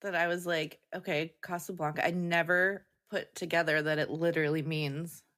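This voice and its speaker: A woman with a somewhat low-pitched voice takes part in a casual podcast conversation. She speaks informally, as a panelist thinking out loud. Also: steady tone, normal speed. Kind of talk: casual chat.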